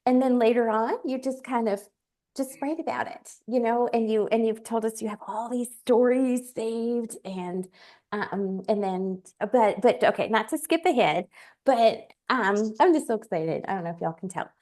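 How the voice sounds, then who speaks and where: A woman's voice: on the high side, moderate pace, darkish tone. A woman interviewing on a video call, bubbly and gushing, laughing often. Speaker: emcee and interviewer. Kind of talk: casual interview.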